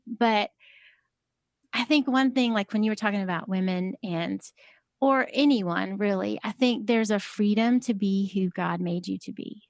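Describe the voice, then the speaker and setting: A woman with a high voice talking casually as a podcast guest, soft-spoken and hesitant, with many fillers. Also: no echo, studio quality. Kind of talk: casual conversation.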